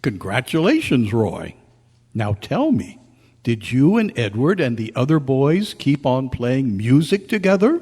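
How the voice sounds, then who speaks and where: A formal, old-fashioned colonel played by a man speaks slowly in a husky voice with lively swings of pitch. It is radio-play acting in an echoey hall. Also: full character voice. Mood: friendly, formal. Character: stiff, puzzled but courteous military colonel. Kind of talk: old-time radio style stage acting. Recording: echoey hall at a club meeting.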